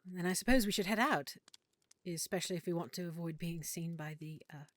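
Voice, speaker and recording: A woman playing a warm, teasing half-elf merchant in an intimate audio roleplay, speaking playfully and affectionately. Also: lowish voice, brisk, dry recording, close to an everyday voice. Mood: gentle reassurance.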